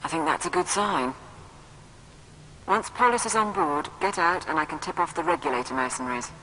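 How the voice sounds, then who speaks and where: An actor playing a brisk, wry intelligence operative in a video game, briefing a commander in a glib, informal way. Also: mid-range voice, lively intonation, pushed and bright, slight background noise, rough recording. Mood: calculating. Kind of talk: video game cutscene acting. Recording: music behind.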